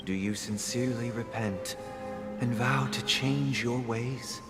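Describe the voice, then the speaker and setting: An actor playing a devout, brooding young confessor who speaks in a formal, measured, pious manner. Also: male voice, mid-range voice, lightly acted. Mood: calm, grave. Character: solemn soft-spoken young priestly man, devout and brooding. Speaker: confessor and family leader. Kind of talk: formal, dramatic game voice acting. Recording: echoey hall, loud music behind.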